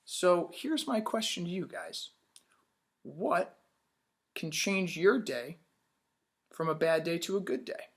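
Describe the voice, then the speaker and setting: A man's voice: high voice, animated, slow pace, pauses often. A man teaching young children in a read-aloud lesson, upbeat, asking questions and praising answers.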